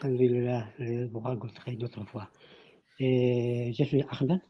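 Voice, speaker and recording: Man, French speaker, caller on a dull lo-fi online call; halting, repeats himself. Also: mid-range voice, steady tone, breathy edge. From Spain. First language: not French. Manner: halting, repeats words while searching for French. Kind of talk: asking a question in an online call. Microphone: online call microphone.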